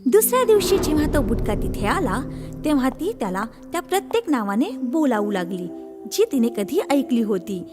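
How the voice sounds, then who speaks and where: Female children's narrator: high register, animated storytelling, prominent background music. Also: normal speed.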